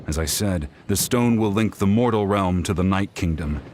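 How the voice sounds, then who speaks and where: A man's voice: sits low, moderate pace, a little mellow, a little hiss or hum, close to an everyday voice. A man voicing a grief-driven, determined warrior in a video game, speaking with earnest, solemn intensity. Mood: solemn, resolute. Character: grief-driven, determined male warrior searching for a lost comrade.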